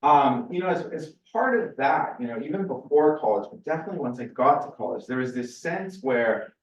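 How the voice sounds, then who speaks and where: A man's voice: medium pitch, animated, a little fast. Distant, echoey man giving a lecture. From California, USA. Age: 40s. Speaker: research scientist giving a seminar. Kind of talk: informal public lecture, personal storytelling. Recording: roomy, distant, muffled lecture recording.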